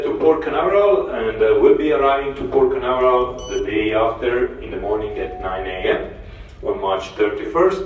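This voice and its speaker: A man speaking as a cruise ship captain, making a formal, measured and courteous announcement with halting pauses over a public address speaker. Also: medium pitch, a little hiss or hum. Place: indoors on a cruise ship, heard over loudspeakers by a walking passenger. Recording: echoey ship PA from far off, crowd chatter. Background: passengers chattering.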